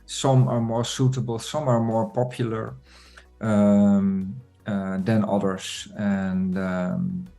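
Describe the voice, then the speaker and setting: A man hosting an online community meeting, talking in a relaxed, chatty way with many fillers. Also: on the low side, slow pace, creaky. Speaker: meeting host.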